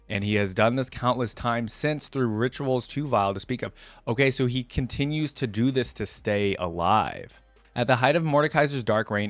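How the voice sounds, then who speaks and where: Low-voiced man, casual reaction host, dry sound. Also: no echo. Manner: informal, thinking aloud. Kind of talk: casual reaction commentary mixed with reading aloud.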